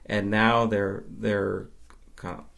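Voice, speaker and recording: A man's voice: lowish voice, flat delivery, slow, gravelly, a bit bright. A man from Nova Scotia, Canada, chatting casually as an interview guest and film fan.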